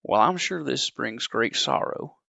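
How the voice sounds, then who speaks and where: Cooper Ellis, a gravelly, scheming agency boss. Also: male voice, medium pitch, animated, moderate pace, pushed and bright, dry recording, full character voice. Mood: cryptic.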